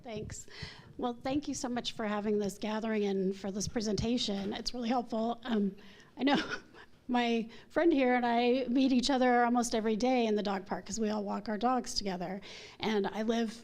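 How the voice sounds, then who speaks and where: A middle-aged woman with a fairly high voice speaks up at a community meeting. She sounds chatty and earnest and slips small laughs in between her points. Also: fairly even intonation, normal speed, a little mellow. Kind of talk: public comment at a meeting.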